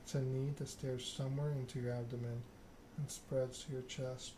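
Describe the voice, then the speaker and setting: Man reading softly in ASMR style, little inflection. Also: medium pitch, level tone, moderate pace, noisy background. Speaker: video game streamer reading a story game aloud. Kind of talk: ASMR narration, reading game text aloud.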